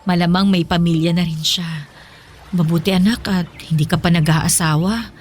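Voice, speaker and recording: A woman's voice: on the low side, fairly even intonation, moderate pace, close to an everyday voice. Earnest, long-suffering mother, played by a woman. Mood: gentle, reflective. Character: long-suffering, principled mother, hurt but forgiving. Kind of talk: Filipino radio drama acting. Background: music.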